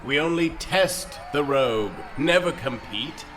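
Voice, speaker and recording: A man's voice: fairly high pitch, expressive, a little slow, background noise. Swooping, sly showman. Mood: amused, teasing. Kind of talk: audio drama acting.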